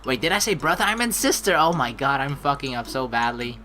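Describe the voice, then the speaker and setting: Animated, bright-voiced woman streamer, low pitch. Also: brisk. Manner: animated, jokey, profane asides. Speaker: let's play streamer. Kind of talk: playthrough narration with casual reactions. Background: background game music.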